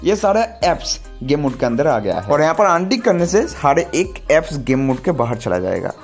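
A man with a fairly high-pitched voice narrates a tutorial with faint music behind him. He explains one step at a time and speaks straight to his viewers, in the style of a gaming channel host. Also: darkish tone. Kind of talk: scripted tutorial narration of phone features.

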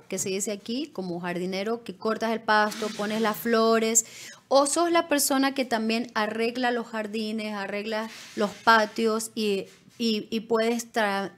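A middle-aged woman speaking conversationally as an immigration consultant, explaining things directly to viewers by name. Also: medium pitch. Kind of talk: conversational advice on a live show. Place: small indoor studio. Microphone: studio microphone on desk stand, close.

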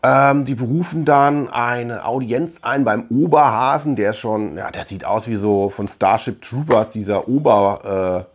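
A man's voice: medium pitch, unhurried, hardly any pauses. Male host, thirties, mid-range voice, conversational review talk, feels for the right word. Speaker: host of a film review channel. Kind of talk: casual review talk to camera. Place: small room full of shelves and collectibles, indoors.

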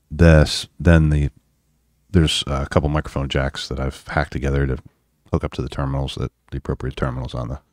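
A man giving a casual, unscripted electronics demo in a halting, self-deprecating way with wry humor. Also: a bass voice, no echo, studio quality. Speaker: electronics hobbyist presenter. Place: indoors at a home workbench.

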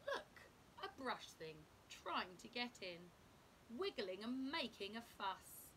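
A middle-aged woman reading a children's picture book aloud in an expressive, dramatic, deliberate manner. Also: low-quality recording. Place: indoor room at home. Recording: clear low background rumble noise.

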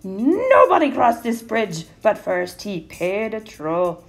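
Blustering storybook troll man with a growl, put on by a woman, slow and loud-edged, soft music behind. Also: mid-range voice, sing-song, exaggerated voice. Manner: gruff growling Caribbean-style patter. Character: mean blustering male island troll.

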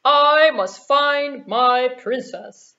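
A woman's voice: high voice, very slow, bright, forceful tone, voice pushed up, heavily acted. A woman voicing an earnest, eager young prince in a puppet show, on a dull recording. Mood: declaring.

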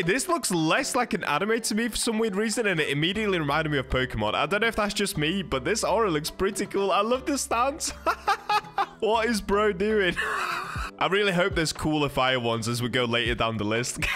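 A man hosting a gaming video with excited, enthusiastic commentary, often exclaiming as he goes. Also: a little high. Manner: exclaims and hypes things up enthusiastically.

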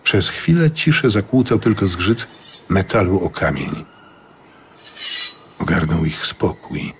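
A man's voice: deep voice, sing-song, slow, a little hiss or hum. A man giving a scripted, dramatic narration of a fantasy audiobook. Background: music.

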